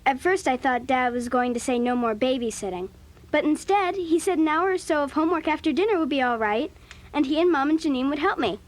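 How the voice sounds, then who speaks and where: A woman's voice: sits high, fairly quick, close to an everyday voice. A young female actor playing a frank, style-conscious preteen girl in a children's audio drama. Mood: pleased.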